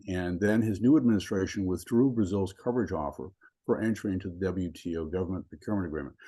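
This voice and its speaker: A man, a law professor, opening and hosting a webinar over a video call, speaking in a measured way with frequent ums and restarts. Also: deep voice, dark tone, slightly rough. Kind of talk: webinar introduction.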